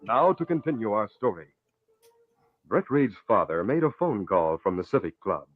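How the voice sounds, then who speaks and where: A man's voice: on the low side, big pitch swings, moderate pace. A man narrating a scripted story as an announcer in 1940s radio style. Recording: tinny, faintly noisy old radio recording.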